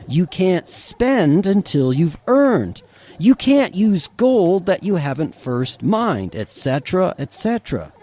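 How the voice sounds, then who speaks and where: A man's voice: high voice, mellow. A man delivering a scripted, sarcastic monologue, chuckling and asking the listener rhetorical questions. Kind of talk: scripted monologue with mock outrage.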